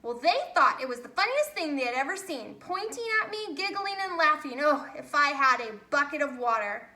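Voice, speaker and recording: A woman voicing an excitable, envious, self-doubting male bear in a children's storybook, exclaiming in a fretful, eager way. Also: sits high, moderate pace, full character voice. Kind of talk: children's storybook character reading. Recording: roomy, echoey home recording.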